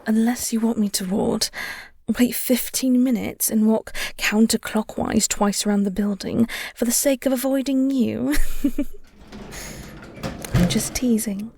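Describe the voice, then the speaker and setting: A woman playing a flirty, teasing vampire nemesis who secretly cares, in an intimate audio roleplay, speaking softly and half-whispered with wry, elegant phrasing. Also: on the high side, steady tone, dry recording, full character voice. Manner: breathy, soft, slow, half-whispered delivery.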